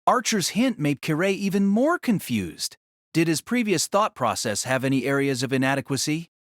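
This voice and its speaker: A man's voice: on the high side, big pitch swings, moderate pace, dry recording, clean studio sound. A man narrating a novel as an audio drama in a dramatic scripted style.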